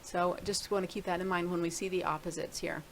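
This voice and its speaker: A woman's voice: fairly low pitch, fairly even intonation, a little fast, a little hiss or hum. A middle-aged woman casually talking through a screen-share video blog, rambling and thinking aloud with many restarts. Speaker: video blogger.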